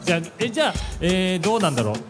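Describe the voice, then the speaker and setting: A man talking in lively, reactive banter as a lead performer at a live radio drama event. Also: high voice, very fast. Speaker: main performer leading a quiz team. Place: live event in a shopping mall. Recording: live event stage with music.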